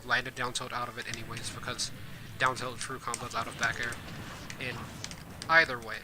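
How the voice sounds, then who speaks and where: A man giving casual gameplay commentary as a streamer, rambling with fillers and self-corrections, his voice a bit hoarse. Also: medium pitch, little pitch movement, normal speed, background noise. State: hoarse from coughing. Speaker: streamer and game guide host. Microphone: desk mic.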